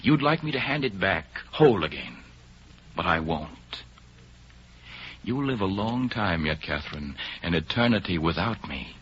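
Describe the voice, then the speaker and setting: Suave low-voiced spy, vintage radio. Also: male voice, lively intonation, fairly bright tone, breathy edge, full character voice. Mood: tender, solemn. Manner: theatrical, measured, intimate delivery. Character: suave, fatalistic male spy, mocking and romantic. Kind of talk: 1930s radio drama acting. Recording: noisy, muffled vintage radio recording.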